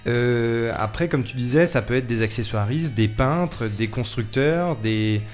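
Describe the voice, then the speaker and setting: A man's voice: mid-range voice, unhurried, faint music behind. A man speaking casually as a guest in a radio interview, explaining his trade in an informal way. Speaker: film set decoration technician, radio guest. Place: radio studio.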